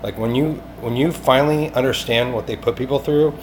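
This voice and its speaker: A man's voice: medium pitch, brisk. A man leaving a rambling voicemail, pleading in an agitated, insistent, repetitive way with some swearing. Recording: clearly noisy amateur phone-call recording.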